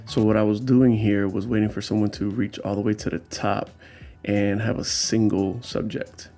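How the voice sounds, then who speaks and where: A man's voice: fairly low pitch, level tone, moderate pace, warm tone, faint music behind. A man hosting his own vlog, talking through his street photos in a casual, explanatory voiceover with frequent fillers. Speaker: street photographer and channel host.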